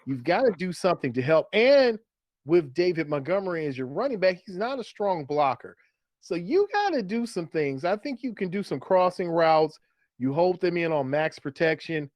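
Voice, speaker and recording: Higher-pitched man talking football, dry sound. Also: sing-song, normal speed, no echo. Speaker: sports podcast co-host. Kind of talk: casual fantasy football chat.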